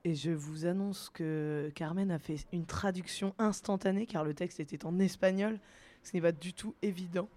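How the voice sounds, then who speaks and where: A woman's voice: fairly low pitch, moderate pace, dark tone. A woman hosting a live radio show, presenting to an audience with hesitant phrasing that builds up dramatically.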